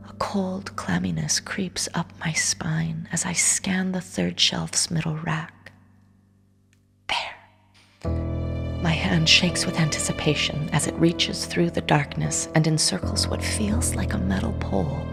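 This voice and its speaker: An actress plays a young seer narrating in a low, gravelly register, her words intense and often dropping to a hush. She tells the tale in the first person for an audio drama, with a musical score playing beneath her. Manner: intense, hushed inner narration. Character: young woman seer reading objects' blood history. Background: orchestral score under the voice.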